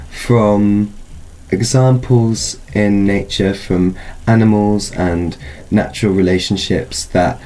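A man's voice: low voice, dark tone, poor audio. A man speaking as a festival guest, explaining an event informally in hesitant, thoughtful phrases. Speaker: film festival spokesperson, guest.

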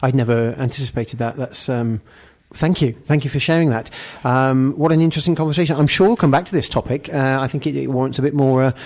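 A man hosting a radio talk show, interviewing a guest in a halting, casual way with frequent restarts. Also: mid-range voice, a little mellow. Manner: halting, many restarts and fillers.

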